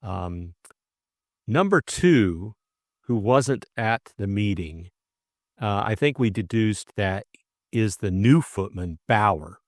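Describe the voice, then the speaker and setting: A man's voice: fairly low pitch, expressive, slow pace, dry recording, clean studio sound. A middle-aged man co-hosting an audiobook, narrating and chatting informally about the book in a hesitant way. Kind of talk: narration and casual book discussion.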